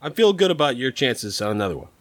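A man's voice: mid-range voice, sing-song, fast talker, fairly bright tone. A man co-hosting a casual podcast chat, laughing often and quizzing the others. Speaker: podcast co-host.

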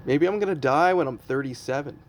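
A man's voice: a little high, brisk. A man in his early thirties, a comedian, chatting casually and rambling with self-mocking humor and frequent laughs. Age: 30s. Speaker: comedian podcast host. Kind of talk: casual chat.

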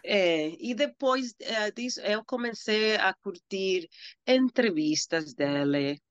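A woman's voice: mid-range voice, animated, slow pace, smooth, no echo, highs cut off. A woman from the Dominican Republic, a native Spanish speaker, talking as a guest who is still learning and often searches for words. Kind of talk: casual interview.

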